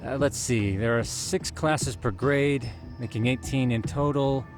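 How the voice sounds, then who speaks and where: An actor playing a precise, lecturing detective who explains facts formally in a video game. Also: male voice, medium pitch, measured pace, over music, lightly acted.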